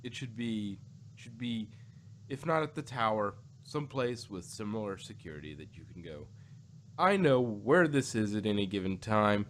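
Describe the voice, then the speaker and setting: A man with a fairly low voice talks in a casual, discussion style. He rambles and repeats phrases as he works through his thoughts. The recording carries some background noise. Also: animated, normal speed, a bit bright. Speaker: podcast co-host reviewing audio dramas.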